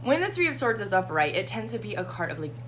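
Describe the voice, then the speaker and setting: A slightly hoarse woman hosting a live tarot reading and chatting casually with her viewers. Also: medium pitch, sing-song, fast talker, a little hiss or hum. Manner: chatty, warm, greets viewers by name.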